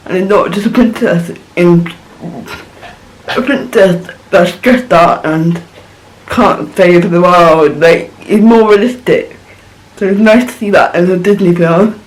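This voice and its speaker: A man's voice: high-pitched, rough recording. A man vlogging a casual film reaction, rambling and searching for words as he gushes.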